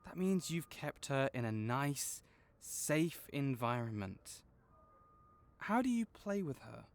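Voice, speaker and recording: Man playing a gentle, reassuring magical-creature vet. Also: medium pitch, sing-song, slow pace. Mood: upbeat, approving. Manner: gentle, encouraging, patient explaining. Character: kind, reassuring male veterinarian for magical creatures. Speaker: voice actor in audio roleplay. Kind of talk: comforting audio roleplay, one-sided conversation.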